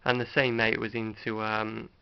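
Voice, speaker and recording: British guy, middle aged, casual vlog chat, bit hesitant, croaky fry in his voice, muffled lofi audio. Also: on the low side, completely flat delivery, normal speed. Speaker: video host showing a tape collection. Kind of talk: casual unscripted show-and-tell vlog. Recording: dull lo-fi home recording.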